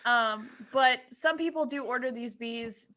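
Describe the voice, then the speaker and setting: A woman's voice: a little high, a little slow, pushed and bright. A woman co-hosting a podcast, chatting casually in an earnest, matter-of-fact way. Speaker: podcast co-host. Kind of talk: casual chat.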